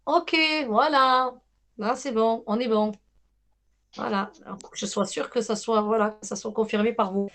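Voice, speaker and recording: A woman's voice: a little high, steady tone, normal speed, fairly bright tone. A woman giving a live medium reading to callers over a video call, hesitant and reassuring, often repeating herself.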